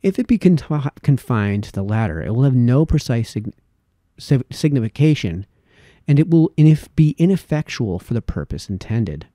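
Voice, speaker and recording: A man reads a formal essay aloud in a very soft-edged, dark and mellow voice. His phrasing is measured and deliberate, yet the pitch rises and falls in a lively way. The sound is dry and close, with no room echo. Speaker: amateur reader of historical texts.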